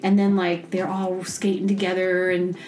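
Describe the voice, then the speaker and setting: A woman's voice: lowish voice, monotone, moderate pace, darkish tone. A woman chatting casually as a podcast host, telling rambling, self-deprecating stories and acting out her own reactions. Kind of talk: casual comedic storytelling. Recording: roomy, echoey sound.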